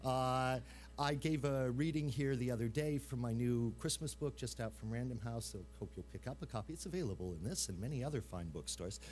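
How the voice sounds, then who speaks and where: A man acting as master of ceremonies, giving informal, halting introductions to a live audience. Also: medium pitch, a little mellow, soft background music. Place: live event room.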